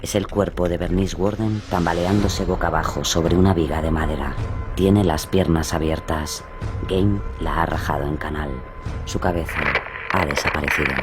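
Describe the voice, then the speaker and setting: Male narrator, very low voice, scripted horror storytelling over a music bed. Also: fairly even intonation, normal speed.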